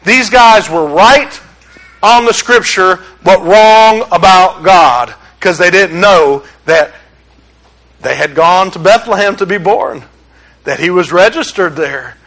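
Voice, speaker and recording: A man's voice: sits high, a bit bright, low-quality recording. A man from Detroit preaching a sermon as pastor, conversational, folksy and openly sarcastic. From Detroit, USA.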